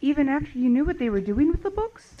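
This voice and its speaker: A woman playing a curious wandering survivor who loves books, in an audio drama, with an expressive, questioning delivery. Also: sits high, fast, phone-quality sound. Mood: incredulous, indignant. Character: wandering female book lover, curious, pleading, indignant survivor. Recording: clearly noisy background with clicks.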